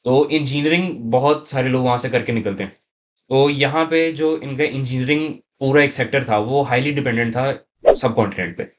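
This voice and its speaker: A man's voice: medium pitch, fairly quick, a little hiss or hum. A man, a student guest chatting casually in mixed Urdu and English. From Saudi Arabia. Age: late teens. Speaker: interview guest, university student.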